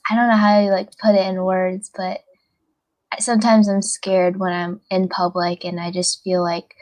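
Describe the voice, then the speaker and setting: A seventeen-year-old girl from the United States chatting casually as a podcast guest, hesitant and searching for words. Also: lowish voice. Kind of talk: casual personal chat.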